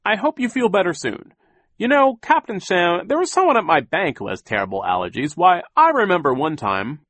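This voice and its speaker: A man's voice: high-pitched, sing-song, normal speed, a bit bright, no echo, voice pushed up, full character voice. A man doing a fussy, droning banker. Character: fussy, long-winded male banker.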